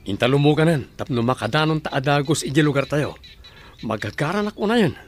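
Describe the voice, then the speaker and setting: Brief: man as a returning husband in a radio play, slightly high voice, earnest. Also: animated, brisk, slight background noise, close to an everyday voice. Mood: eager. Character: husband returning home from abroad.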